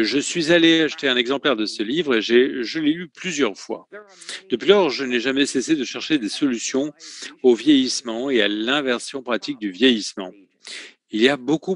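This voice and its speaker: Man interpreting with hesitant, measured delivery. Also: mid-range voice, fairly bright tone. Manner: measured, with hesitations and self-corrections. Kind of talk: French voice-over of a conference talk.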